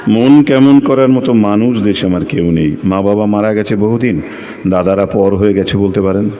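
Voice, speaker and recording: A man's voice: fairly low pitch, normal speed, mellow, muffled recording, close to an everyday voice. An actor playing a shy, lonely young man who speaks hesitantly and repeats himself in an audio drama. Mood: wistful. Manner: hesitant, stammering, repeats phrases. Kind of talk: Bengali audio drama acting with narration. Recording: clearly noisy recording.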